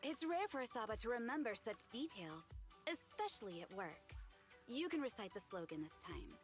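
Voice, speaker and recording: A woman's voice: on the high side, sing-song, normal speed. A woman playing a commanding, formal tactical fighter in an anime-style video game, over music. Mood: calm. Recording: loud game music underneath.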